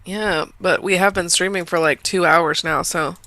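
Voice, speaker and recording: A woman co-hosting a podcast in casual chat, teasing with quick interjections. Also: on the low side, fairly even intonation, bright, forceful tone, slight background noise. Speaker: podcast co-host.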